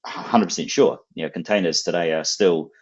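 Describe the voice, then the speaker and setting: A man's voice: sits low, brisk, breathy edge, lo-fi sound. A man with a New Zealand accent, a guest casually explaining technical ideas and often repeating words as he thinks.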